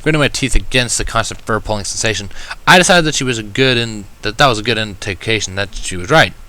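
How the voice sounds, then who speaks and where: Forceful, low-voiced male story narrator. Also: animated, fast, poor audio. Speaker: fan fiction narrator. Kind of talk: scripted first-person story narration.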